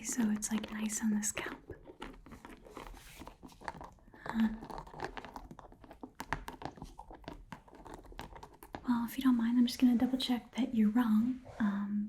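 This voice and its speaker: A woman acts out a shifty, chatty goblin shopkeeper in a whispered ASMR roleplay. The voice is breathy and very mellow, rambling and repeating little phrases as it haggles. It is close on the microphone, with clear hiss behind it. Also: medium pitch, natural voice. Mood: intrigued.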